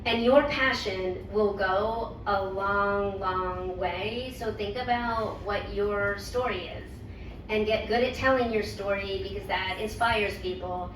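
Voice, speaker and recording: A woman's voice: mid-range voice, slow pace, fairly bright tone. A woman hosting a networking event offers encouraging words and leans on emphatic repetition. Her mid-range voice comes from a distance in an echoey room with faint noise. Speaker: event host and organization leader. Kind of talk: motivational remarks. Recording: roomy, distant mic with noise.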